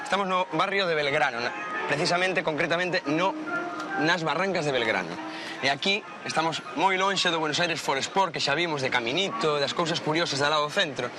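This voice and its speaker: A man's voice: fairly high pitch, brisk, music in the background. A man with a Galician accent chatting casually as a local guide, wordy and often rephrasing himself. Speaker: local guide showing the city. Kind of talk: casual chat to camera.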